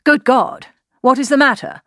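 A woman voicing a courteous young gentleman, earnest and full of concern, in a storybook reading. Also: medium pitch, very animated, brisk, no echo, clean studio sound, lightly acted. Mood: alarmed concern. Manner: earnest, emphatic, solicitous.

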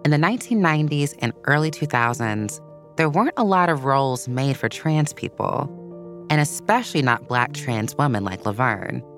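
A woman's voice: a bass voice, animated, moderate pace. A woman reading a scripted children's story as a narrator. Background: music.

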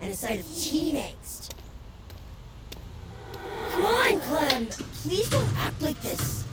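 A clingy pleading female companion, high swoopy voice, audio drama, pushy and emphatic. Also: sing-song, takes it slowly, very noisy, full character voice. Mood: pleading, warning. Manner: insistent, cajoling, emphatic. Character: possessive, pleading female inner companion warning her friend. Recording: music behind the voice.

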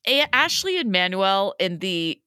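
Bright, giggly woman co-hosting a podcast. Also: mid-range voice, very animated, a little slow, clear, resonant tone, no room sound, clean studio sound. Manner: bubbly, giggly, blunt and crude. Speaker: podcast co-host. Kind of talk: casual comedic recap chat.

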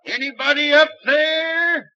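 An actor playing a gruff, commanding small-town doctor in an old-time radio horror drama, stern and bossy. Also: male voice, extremely high pitch, takes it slowly, sharp tone, dry recording, exaggerated voice. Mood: stern, impatient. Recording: dull vintage radio recording.

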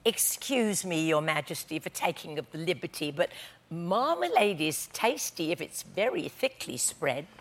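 A courteous young dairymaid from a storybook poem, played by a woman. She is deferential and careful with her words, her pitch rising and dipping expressively. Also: sing-song, moderate pace. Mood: timid, apologetic. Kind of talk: recited children's poem.

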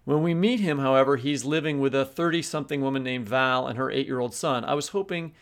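A man's voice: mid-range voice, fast. A man co-hosting a podcast in a casual, conversational style. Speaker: podcast co-host.

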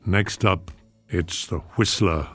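A man's voice: low-pitched, takes it slowly, husky, no echo. A man hosting a radio show with scripted introductions. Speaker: host. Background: music.

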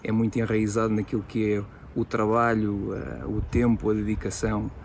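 A man, an assistant coach giving a formal recorded tribute with hesitant pauses. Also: fairly low pitch, flat delivery, gravelly, darkish tone, slight background noise.

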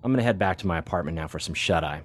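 Junior intelligence analyst for audio drama: lower register, earnest, deferential, a touch hesitant, light music underneath. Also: male voice, rapid-fire. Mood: surprised, deferential.

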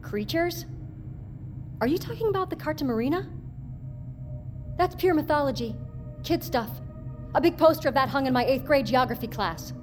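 A woman's voice: high voice, lively intonation, normal speed, pauses often, music in the background. An actress playing an outspoken, skeptical woman scientist in an audio drama, arguing with emphasis and sarcasm. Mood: scornful. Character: combative, skeptical woman marine biologist, indignant and outspoken. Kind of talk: audio fiction drama acting.

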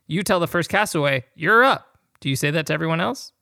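A man's voice: a little high, animated, a little fast, creaky, bright, forceful tone, dry recording, clean studio sound. An American man co-hosting a podcast, talking and reading listener questions aloud.

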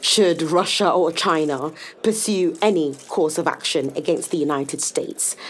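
Corporate executive: lower husky voice, polished and self-possessed, cool formality, sci-fi radio drama. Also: female voice, darkish tone. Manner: formal, controlled. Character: poised, guarded female corporate executive. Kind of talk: scripted audio drama acting.

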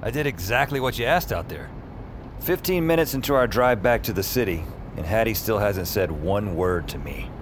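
Low-voiced cocky novice spellcaster , a male actor. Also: lively intonation, normal speed, noisy background, lightly acted. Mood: eager, puzzled. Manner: wry, conversational. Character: cocky, restless, wisecracking novice spellcaster man. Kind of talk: first-person audio drama narration and acting. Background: music.

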